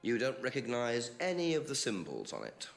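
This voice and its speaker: An actor playing a persistent, dry police detective inspector in a mystery game, questioning and skeptical. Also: male voice, mid-range voice, huge pitch swings, moderate pace, creaky, soft background music. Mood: probing. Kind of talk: FMV mystery game acting.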